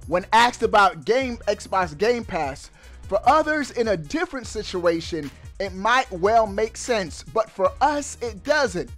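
High-pitched, emphatic man over music. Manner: emphatic, animated delivery with drawn-out words, slangy. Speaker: gaming YouTuber. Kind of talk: excited gaming news commentary. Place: indoors at a streaming setup. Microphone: headset mic.

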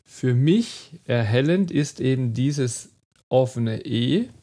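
Slow, gravelly-voiced man teaching pronunciation. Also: medium pitch, expressive, dark tone. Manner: slow, demonstrative, repeats model words. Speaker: German teacher. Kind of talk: online phonetics lesson.

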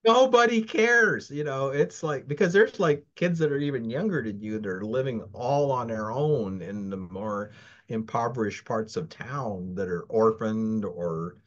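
A man's voice: mid-range voice, a little slow. Rambling older man with an American accent, video call. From Philippines. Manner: rambling, many restarts and fillers. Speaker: interview guest. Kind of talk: casual interview. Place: home, virtual background. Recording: dull lo-fi video call audio.

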